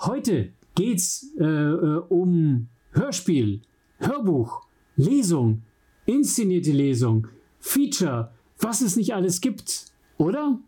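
A man's voice: high voice, very animated, takes it slowly, mellow, husky. A man with a German accent co-hosting a podcast in casual chat from a home studio, with a joking, self-deprecating manner. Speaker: podcast co-host.

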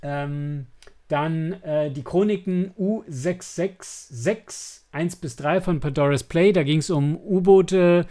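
A man's voice: a little high, takes it slowly, mellow. A man co-hosting a podcast in casual chat, searching for his words as he goes. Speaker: podcast host. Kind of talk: casual chat about audio dramas.

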